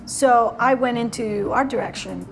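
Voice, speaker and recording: A woman from Argentina talks easily about her work and childhood, with a gravelly fry in her voice. Her voice sits a touch high, and music plays clearly behind her. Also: a little slow. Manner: chatty, laughs easily. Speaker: cosmetics brand founder. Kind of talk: casual conversation.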